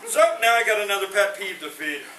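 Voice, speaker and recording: High-voiced comedian, echoey hall, far off. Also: male voice, sing-song, fairly quick. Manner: corny puns with a sheepish trailing delivery. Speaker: stand-up comedian. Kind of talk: stand-up one-liners. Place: veterans home hall. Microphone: no microphone, far from the recorder. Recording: roomy hall from a distance, noisy.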